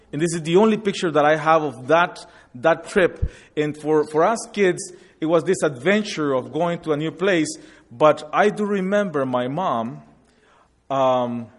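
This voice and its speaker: Halting man in his forties. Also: a little high. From Guatemala. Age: 40s. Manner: halting, with many restarts and fillers. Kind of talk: personal testimony talk.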